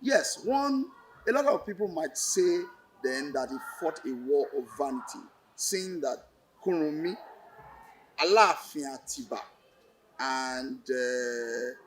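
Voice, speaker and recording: A man with a Nigerian accent explaining in an interview, deliberate and halting, repeating phrases for emphasis. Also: on the high side, animated, low-quality recording. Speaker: lecturer and play director interviewed.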